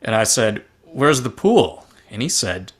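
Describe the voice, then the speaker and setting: A man's voice: on the low side, big pitch swings, measured pace. A man playing a jovial middle-aged husband who tells jokey anecdotes in a sci-fi table read. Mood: jovial, storytelling. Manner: jokey storytelling.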